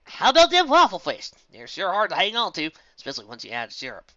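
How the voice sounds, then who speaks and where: A woman's voice: lowish voice, huge pitch swings, quick pace, bright, forceful tone, no room sound, dull audio, voice pushed up, exaggerated voice. A woman voicing a plain-spoken, stubborn female farm pony with a folksy American country accent and an exasperated manner.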